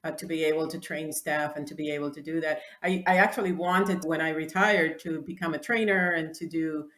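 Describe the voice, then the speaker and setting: Woman, 60s, from the Dominican Republic, native Spanish speaker; slightly low voice, reflective interview guest in a roomy space. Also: fairly even intonation, a little fast, fairly bright tone. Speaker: guest, clothing designer. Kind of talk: interview answers. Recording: echoey room.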